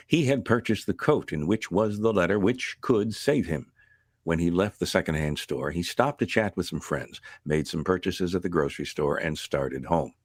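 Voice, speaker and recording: A man playing a solemn narrator of a vintage radio suspense tale, speaking in a measured, grave, deliberate way. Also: deep voice, no room sound, studio quality.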